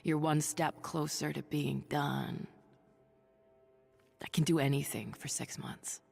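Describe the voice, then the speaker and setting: An actress playing an ailing, stubborn woman doctor turned hero in a video game drama, speaking in a halting, confiding but resolute way. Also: sits low, steady tone, a little mellow, in a large hall, soft background music, full character voice. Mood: tired, confiding. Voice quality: husky, breathy, creaky. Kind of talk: dramatic video game acting, audio log monologue.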